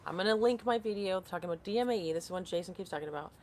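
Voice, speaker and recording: Woman, late thirties, lower pitch, live-stream host reading comments, casual and scattered. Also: rapid-fire, a little mellow, slight background noise. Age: 30s. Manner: rambling, reads comments aloud and loses her train of thought. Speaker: skincare vlogger hosting a live stream.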